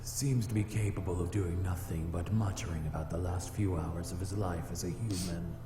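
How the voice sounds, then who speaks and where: Man playing a low, echoing, proud flame demon. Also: steady tone, dark tone, natural voice. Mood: solemn, guarded. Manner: formal, measured, haughty wording. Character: proud, aloof male flame demon, easily flustered. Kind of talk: scripted visual novel character acting. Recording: echoey voice over background music.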